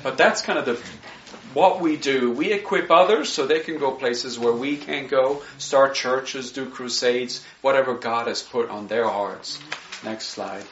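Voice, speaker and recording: A man's voice: medium pitch, a little slow, slight background noise. Swiss-accented man in a roomy hall. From Switzerland. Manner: earnest, warm asides, frequent "you know" and "right?". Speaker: missionary speaker. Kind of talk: presenting slides to a congregation. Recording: roomy, dull sound.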